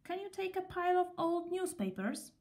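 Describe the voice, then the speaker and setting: A woman voicing a brisk, matter-of-fact mother of a little girl in a children's story. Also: very high-pitched, sing-song, darkish tone, voice pushed up. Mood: calling out.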